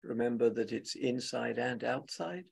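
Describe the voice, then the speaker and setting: A man with a husky, gravelly voice leading a guided meditation as a teacher, speaking gently and unscripted in short phrases with long pauses. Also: medium pitch.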